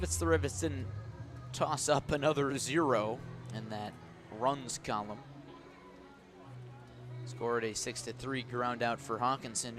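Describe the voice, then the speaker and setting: A man's voice: mid-range voice, fairly bright tone. A man doing live baseball play-by-play as a radio announcer. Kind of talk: live radio sports commentary.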